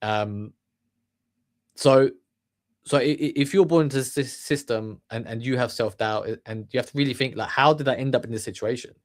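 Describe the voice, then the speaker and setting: A man from the UK gives an off-the-cuff motivational talk at an average pitch. He circles his points with repeated words, fillers and "right?" as he goes. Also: moderate pace. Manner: rambling, many restarts and fillers. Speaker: channel host. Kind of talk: unscripted motivational monologue.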